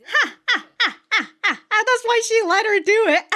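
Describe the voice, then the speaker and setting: A woman's voice: extremely high pitch, lively intonation, moderate pace, bright, forceful tone. A woman giving casual, slangy reaction commentary with plenty of swearing and exclamations. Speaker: reaction video host. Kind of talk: casual reaction commentary to an anime, reading subtitles aloud.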